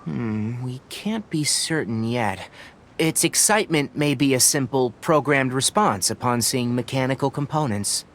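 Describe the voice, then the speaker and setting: An actor playing a cautious young forest scholar in a video game, speaking in a precise, analytical, instructive way over music. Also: male voice, medium pitch, expressive, measured pace, a bit bright, a little hiss or hum.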